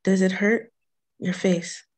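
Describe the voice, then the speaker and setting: A woman acts out a brave young girl in a screenplay read. The voice is medium-pitched for a female, concerned and earnest. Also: flat delivery, slow, darkish tone.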